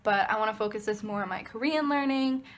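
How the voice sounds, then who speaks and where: A woman's voice: on the high side, quick pace. Chatty young American woman vlogger. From United States. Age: 20s. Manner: chatty, rambling, many fillers and restarts. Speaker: vlogger, college student. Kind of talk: casual direct-to-camera vlog.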